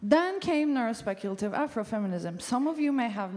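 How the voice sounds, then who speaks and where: A woman's voice: fairly high pitch, animated, brisk, darkish tone. A woman in her thirties from Turkey giving a conference talk on stage, speaking casually with frequent ums and uhs. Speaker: studio co-founder giving a festival talk. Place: large festival venue stage.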